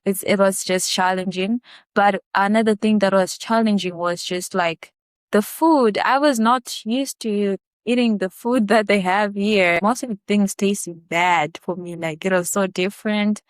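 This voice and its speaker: A young woman from Zimbabwe speaks with a smooth, clear voice in a dry, close recording. She answers in a relaxed, conversational way and often pauses on fillers. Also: medium pitch, dry recording. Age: young adult. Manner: relaxed, many fillers, laughs lightly. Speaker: student guest.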